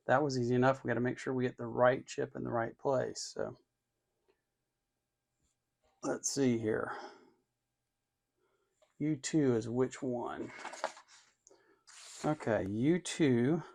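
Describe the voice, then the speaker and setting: A man narrating a hands-on electronics build casually, thinking aloud in an unhurried way and muttering to himself as he works. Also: mid-range voice, creaky, a little mellow. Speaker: electronics hobbyist host.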